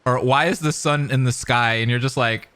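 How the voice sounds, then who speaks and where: A man's voice: mid-range voice, normal speed, a bit bright, clear, resonant tone. A man giving casual, slangy reaction commentary as a game streamer. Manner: slangy, informal.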